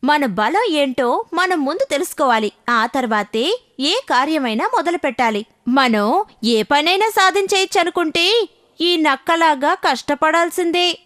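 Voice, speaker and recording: A woman's voice: sits high, animated, a little slow, fairly bright tone, no echo, clean studio sound. A woman narrating scripted animal fables for children as a storyteller.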